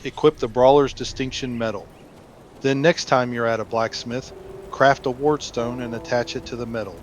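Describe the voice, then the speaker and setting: A man's voice: mid-range voice, fairly even intonation, moderate pace, a little hiss or hum. A man giving instructional, plainly explanatory commentary as a guide to a video game. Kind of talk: gameplay walkthrough commentary. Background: game music and battle sounds.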